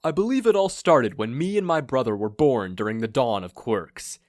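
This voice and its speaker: A man's voice: mid-range voice, expressive, normal speed, darkish tone, dry recording, studio quality, close to an everyday voice. A man voicing a sinister, self-absorbed male supervillain who monologues in a pompous, theatrical way, in a story reading. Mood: boastful storytelling.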